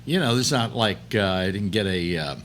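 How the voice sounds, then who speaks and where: A man in his late seventies hosting a casual chat, rambling and halting with many restarts. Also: lowish voice, lively intonation, a bit bright, a little hiss or hum. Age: 70s. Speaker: host.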